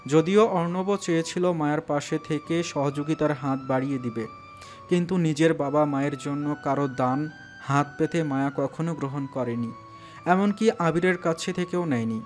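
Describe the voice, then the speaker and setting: A man's voice: a little high, moderate pace. A man narrating a romantic audio story in a dramatic, scripted style. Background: background music.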